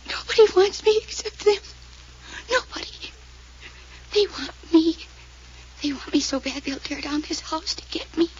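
An actress playing a fragile, needy young woman in an old radio mystery drama, plaintive and often dropping to a breathy whisper. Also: extremely high pitch, expressive, measured pace, pauses often, a little mellow. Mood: desperate, afraid. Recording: muffled, noisy old radio transcription.

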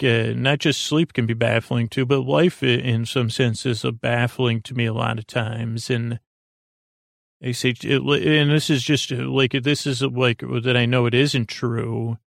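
Creaky, monotone man lulling listeners to sleep. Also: medium pitch, no echo, clean studio sound. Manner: meandering, halting, full of filler words; lulling, gentle, reassuring. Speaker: sleep podcast host. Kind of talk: rambling soothing bedtime monologue.

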